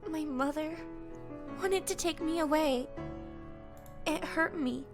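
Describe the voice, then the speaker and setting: Shy, earnest young woman for a visual novel: very high voice, tender and hesitant, light music behind. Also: slow pace. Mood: hesitant, emotional.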